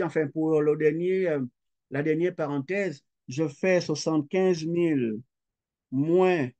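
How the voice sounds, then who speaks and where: A man's voice: fairly high pitch, warm tone. A middle-aged man, giving a recorded lecture and working through the calculations step by step, repeating each figure aloud. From Quebec. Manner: slow, methodical, repeats figures aloud as he writes.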